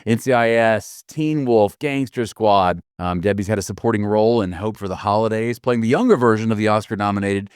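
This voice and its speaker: A man's voice: lowish voice, a little fast, few pauses, clear, resonant tone, dry recording, studio quality. A man hosting a podcast, friendly and self-deprecating, joking as he introduces his guest. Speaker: podcast host. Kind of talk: casual interview talk.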